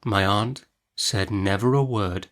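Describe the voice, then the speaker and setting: A man reading a classic novel aloud as an audiobook narrator, in an expressive literary storytelling style. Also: lowish voice, slow pace.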